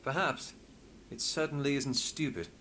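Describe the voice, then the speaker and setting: An actor playing a guarded, authoritative inspector in a game, speaking in a dry, measured, formal way. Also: male voice, mid-range voice, a bit bright, slight background noise, natural voice.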